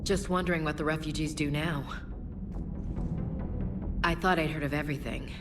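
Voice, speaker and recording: An actress playing an earnest, humane crew member in a video game, talking in a reflective, conversational way. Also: female voice, low voice, fairly even intonation, moderate pace, lightly acted. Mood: troubled. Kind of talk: video game dialogue acting. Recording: clear music behind the voice.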